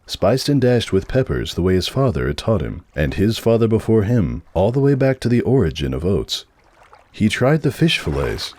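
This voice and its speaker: A man's voice: sits low, dark tone. A man giving a dramatic storybook narration.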